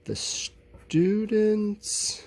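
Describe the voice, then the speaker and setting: A man's voice: high voice, extremely slow, creaky, darkish tone. A man teaching a recorded lesson, talking informally to his students and explaining things in a candid, conversational way.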